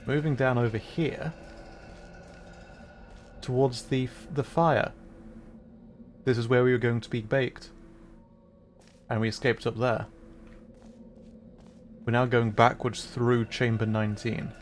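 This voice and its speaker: A man's voice: mid-range voice, steady tone, takes it slowly, long pauses, a little hiss or hum. Chatty nerdy man streaming a game. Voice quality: chatty and nerdy, rambling explanations with frequent laughs. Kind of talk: casual gaming commentary. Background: game music.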